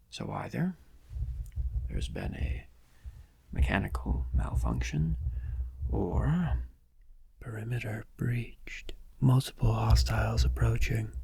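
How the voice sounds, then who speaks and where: A man playing a gloating, theatrical criminal mastermind in a soft-spoken ASMR roleplay, breathy and often near whispering, with pompous, mock-polite, drawn-out musing. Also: fairly low pitch, full character voice. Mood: wary, annoyed. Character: gloating, theatrical criminal mastermind villain, supremely confident yet cautious.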